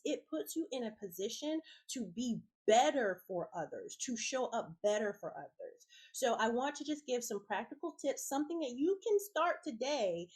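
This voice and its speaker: A fairly high-voiced woman hosts a self-care talk show while fighting a head cold. Her voice comes through raspy and stuffed up. She speaks to her listeners directly and encouragingly. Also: a little mellow, poor audio. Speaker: podcast host.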